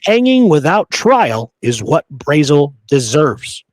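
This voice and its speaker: A man's voice: fairly high pitch, sing-song, slow, no room sound. A man co-hosting a history podcast, telling old stories in a casual, colloquial way. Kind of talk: casual conversational history storytelling.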